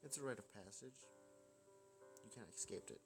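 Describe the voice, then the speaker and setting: A man's voice: lowish voice, animated, moderate pace. A man voicing a carefree, adventurous young woman in a visual novel, talking in a breezy, teasing way. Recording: music behind the voice.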